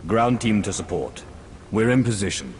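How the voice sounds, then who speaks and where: Deep commanding male lord. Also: big pitch swings, normal speed, a little mellow, a little hiss or hum. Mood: commanding, resolute. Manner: formal, authoritative. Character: commanding, resolute lord giving urgent orders. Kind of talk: video game cutscene acting. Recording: clear music underneath.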